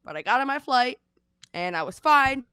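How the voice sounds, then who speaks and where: Congested woman co-host, animated casual storyteller, dry sound. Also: sits high, moderate pace, clear, resonant tone, dry recording. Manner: chatty storytelling, animated retelling. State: congested, losing her voice. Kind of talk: casual chat. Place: at home in Seattle.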